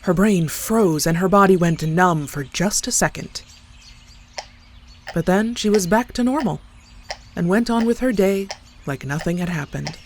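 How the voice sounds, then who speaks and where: A woman's voice: lowish voice, animated, unhurried, slight background noise. A woman narrating a scripted horror story as a storyteller. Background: clear background music.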